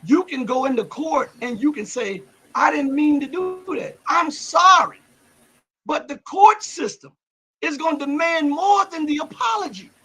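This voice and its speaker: A man hosting a political commentary livestream, whose voice cracks and breaks up. He reads viewer comments and builds to loud, heated rhetorical questions. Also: very high-pitched, lively intonation, a little slow, low-quality recording. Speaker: livestream host.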